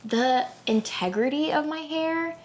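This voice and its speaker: A woman's voice: high-pitched, measured pace, a little hiss or hum. A young woman from Houston, co-hosting a casual podcast chat, talking candidly and swearing now and then. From Houston, Texas. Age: young adult. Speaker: podcast co-host.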